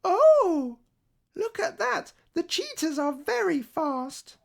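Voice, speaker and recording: A woman voicing an excitable, stubborn, fretful little girl in a children's storybook, with childlike, insistent emphasis. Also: very high voice, slow pace, gravelly, voice pushed up, heavily acted. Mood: eager. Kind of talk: children's storybook read aloud.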